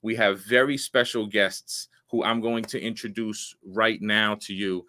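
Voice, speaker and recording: A man in his forties hosting a live online talk show, speaking in an earnest, measured way with frequent pauses and fillers. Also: on the low side, bright, forceful tone. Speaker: show host, veteran.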